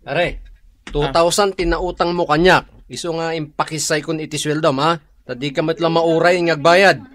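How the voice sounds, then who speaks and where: A man with an Ilocano Filipino accent playing a gruff, mocking coworker in a radio drama, repeating words as he taunts. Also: fairly high pitch, fairly even intonation, moderate pace, fairly bright tone, no echo, natural voice. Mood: demanding, mocking. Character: gruff, mocking male coworker owed money.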